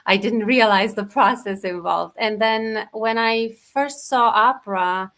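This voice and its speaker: A woman's voice: mid-range voice, a bit bright. Articulate woman author from Romania. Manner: articulate, measured, reflective. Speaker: author guest. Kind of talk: interview answers, personal storytelling.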